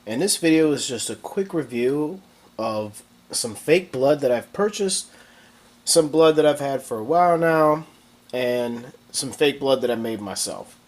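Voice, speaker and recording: A man talks through a casual review at an ordinary middle pitch, his voice thick and stuffed up from a cold. He keeps things relaxed and conversational, stopping for ums and restarting his sentences as he goes. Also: measured pace. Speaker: video maker reviewing products.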